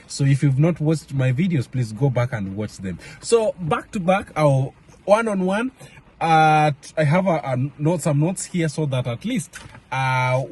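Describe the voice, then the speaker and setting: A young man from Kenya talking casually to camera in a vlog, halting with many fillers and restarts. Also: medium pitch, a little mellow, slightly rough. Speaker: vlogger. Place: home in Madrid area.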